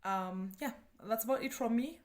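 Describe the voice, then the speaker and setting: A woman's voice: a little high, normal speed. A Kenyan woman, a native Kikuyu speaker, reviewing books in a casual, slangy, self-deprecating chat. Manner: chatty, slangy, self-deprecating, breaking often into laughter. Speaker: book review vlogger.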